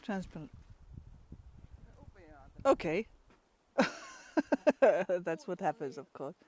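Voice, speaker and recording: A woman's voice: lowish voice, very animated, extremely slow, darkish tone, breathy edge. A woman from Finland with a Finnish accent, chatting casually outdoors in a pine forest for a travel vlog and laughing often between restarts. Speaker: travel vlogger.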